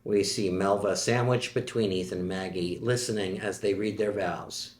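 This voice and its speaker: A man reads the scene and action lines of a screenplay in a plain, steady way. His voice sits low, and the room gives it a noticeable echo. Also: normal speed. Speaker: narrator reading stage directions.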